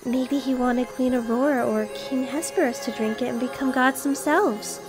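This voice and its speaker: High-voiced divine pony aunt, a woman. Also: moderate pace, over music, close to an everyday voice, low-quality recording. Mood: musing. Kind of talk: fan audio drama acting. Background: musical score.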